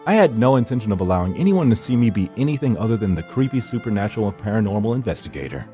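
A man's voice: fairly low pitch, very animated, racing, dark tone, soft background music. A man reading first-person horror narration for a visual novel in a brooding, theatrical storytelling manner.

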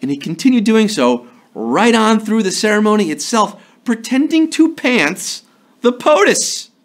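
A man in his thirties hosting a live trivia show from a studio, playful and jokey, a little hoarse from a sore throat. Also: high-pitched, sing-song. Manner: playful, jokey, slips into quick impressions and sung bits. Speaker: trivia game show host. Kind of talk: upbeat live quiz hosting. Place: studio before a green screen.

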